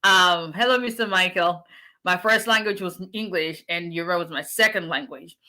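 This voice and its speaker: A woman talks casually on a livestream, greeting viewers and urging them to keep faith. Her voice is bright and forceful, with a preacher's lift when she encourages. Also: on the low side, moderate pace. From Nigeria. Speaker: immigration attorney.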